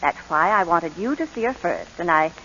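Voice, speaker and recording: An actress playing a brisk, courteous but firm middle-aged orphanage inspector in a 1940s radio drama. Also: female voice, on the low side, lively intonation, sharp tone, a little hiss or hum. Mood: gently persuasive. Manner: composed, polite, businesslike. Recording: dull, lo-fi 1940s radio recording.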